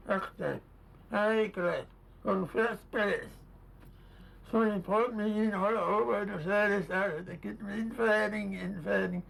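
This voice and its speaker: An elderly deaf man speaking in slow, broken, halting phrases in an interview. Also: high voice, gravelly, noisy background. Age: older. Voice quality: deaf speech, halting and hard to follow.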